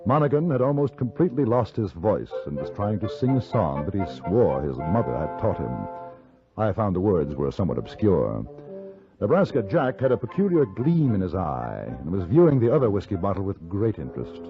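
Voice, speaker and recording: An actor playing a composed, dry-witted gentleman out West, speaking in a measured, formal way in a 1950s radio drama. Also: on the low side, big pitch swings, over music. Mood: wry narration. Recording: muffled 1950s radio recording.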